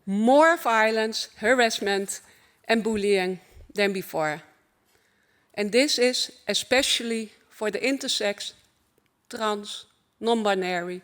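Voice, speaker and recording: A woman with a Dutch accent giving a formal opening keynote at a conference, earnest and measured, in short phrases. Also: mid-range voice. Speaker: conference speaker.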